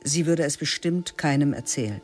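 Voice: medium pitch, expressive, moderate pace, soft background music. A narrator telling a radio drama in terse, matter-of-fact sentences. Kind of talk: scripted narration.

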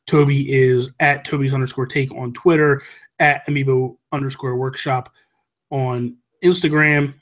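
A man's voice: mid-range voice, little pitch movement, unhurried, fairly bright tone. A man in his thirties chatting casually as a podcast host, emphatic and opinionated, on a close desk microphone. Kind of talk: animated casual chat about games and films. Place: small home room, indoors. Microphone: close desk microphone with headphones.

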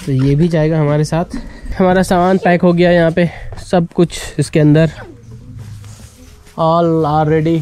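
A man's voice: on the high side, little pitch movement, a little slow, dark tone, slight background noise. A man on a road trip, chatting casually and playfully with his companions outdoors. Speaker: traveller on the trip. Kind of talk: casual outdoor vlog chat.

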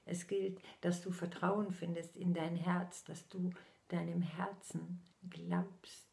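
A woman in her sixties, a spiritual medium, delivering a channeled message slowly and solemnly with her eyes closed, often sinking into soft whispers, recorded close up on a laptop in a small room at home. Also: fairly low pitch, level tone, creaky.

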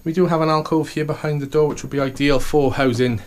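Upbeat estate agent man, slightly high voice. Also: fairly even intonation, quick pace, darkish tone. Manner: upbeat sales patter, keeps saying "okay" and "fantastic". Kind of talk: property tour walkthrough.

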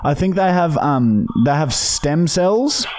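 A man in his thirties with an Australian accent, chatting casually and deadpan as a prank-calling podcast host on a close studio microphone. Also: fairly high pitch, slow, a little hiss or hum. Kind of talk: casual, deadpan phone chat. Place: small podcast studio, indoors. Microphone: close boom-arm studio microphone with headset.

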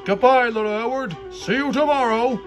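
A man's voice: very high-pitched, normal speed, faint music behind, voice pushed up. A man voicing a proud, grumpy big express engine in a children's storybook, boastful and cross.

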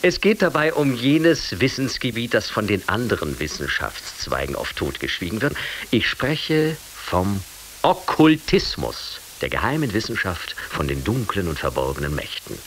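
Excitable, wildly swooping radio host. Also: male voice, lowish voice, moderate pace. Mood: grandly announcing. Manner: theatrical, flustered, stammering. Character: excitable, naive male radio show host. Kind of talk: 1980s radio comedy sketch. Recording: hissy 1980s radio recording.

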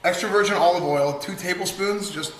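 A man's voice: high voice, brisk, a bit bright, slight background noise. A young man from Texas, bantering loosely while cooking with friends in a large home kitchen. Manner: joking, loose, talks over others. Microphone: camera mic across the room. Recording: echoey kitchen with crowd chatter.

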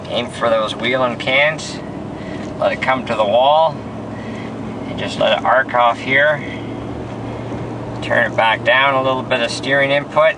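A man in his forties talks a lap from behind the wheel in clipped, terse instructions. He speaks slowly and evenly, sitting back from the microphone. Clear road and engine noise runs underneath. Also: mid-range voice. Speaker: race car driver. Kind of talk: lap walkthrough commentary. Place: inside a car on a racetrack. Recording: distant mic with road noise.

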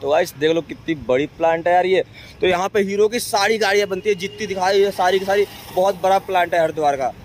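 A young man with a high voice talks casually to his vlog viewers. He is chatty and repeats himself, with clear street and vehicle noise behind him. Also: quick pace. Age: young adult. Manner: chatty, repetitive, addressing viewers as guys. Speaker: vlog host. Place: outdoors along industrial roadsides. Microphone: camera held at arm's length. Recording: noisy street with vehicle traffic.

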